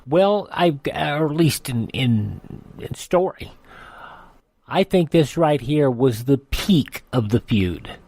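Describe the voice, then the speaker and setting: A man's voice: medium pitch, animated, takes it slowly, darkish tone, no echo. A man introducing an episode of a vintage radio show as its host. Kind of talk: program introduction.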